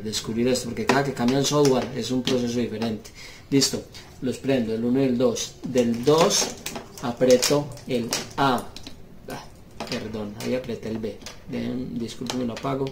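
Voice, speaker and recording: A man with a mid-pitched voice talks through a practical demonstration. He goes step by step, pausing often as he works. The recording is made in a room, with clear noise and small clicks behind him. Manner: halting, step-by-step phrasing with pauses. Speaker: seller demonstrating referee intercom gear. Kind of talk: hands-on tutorial, unscripted explanation. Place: small indoor room at a table.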